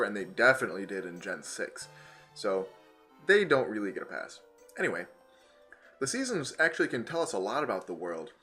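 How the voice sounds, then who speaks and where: Hoarse man explaining science, bright voice. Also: mid-range voice. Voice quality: slightly raspy. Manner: enthusiastic, conversational, with filler "you know". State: hoarse from a cold. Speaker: host of an educational science channel.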